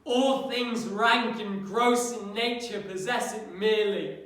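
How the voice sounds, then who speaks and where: A very echoey room carries a man's performance of a grieving, bitter young prince in a Shakespearean soliloquy. The delivery is impassioned and declamatory, high and forceful, with deliberate pacing. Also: fairly even intonation. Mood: anguished, despairing. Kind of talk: classical Shakespearean stage soliloquy. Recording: very echoey room, slightly distant mic.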